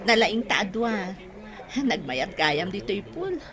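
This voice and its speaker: A woman's voice: mid-range voice, sing-song, slow pace, a bit bright. A woman with an Ilocano Filipino accent playing an easygoing, modest young woman in an audio drama. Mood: relaxed, grateful. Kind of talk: amateur audio drama acting.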